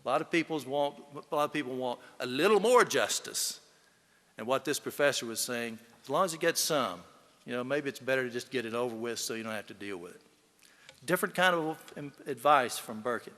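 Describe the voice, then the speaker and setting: A man delivers a eulogy in a big, echoing hall. His voice is bright and forceful, and he tells folksy stories with emphasis, speaking straight to the people gathered. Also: mid-range voice, lively intonation, a little fast. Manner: folksy, storytelling, emphatic, addressing the audience. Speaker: eulogy speaker, fellow lawyer. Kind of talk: eulogy speech with folksy anecdotes. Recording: echoey hall with long reverb.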